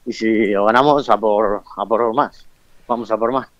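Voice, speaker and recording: A man's voice: fairly low pitch, steady tone, moderate pace, no room sound, highs cut off. A man in his twenties from Colombia, speaking with a Bilbao accent, a professional boxer giving short, shy answers in an interview. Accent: Bilbao Spanish. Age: 20s.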